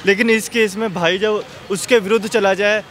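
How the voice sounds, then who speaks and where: A man casually giving his opinion in a street interview, halting and circling back over his phrases. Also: high voice, fairly even intonation, a bit bright, slight background noise.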